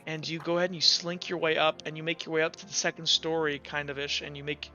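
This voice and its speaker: Man, game master, bright forceful voice, casual halting improvised narration, dull lo-fi sound, music underneath. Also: on the high side, flat delivery. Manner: casual, hesitant, loosely improvised delivery with frequent "like" and "kinda". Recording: dull lo-fi audio with music behind. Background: background music.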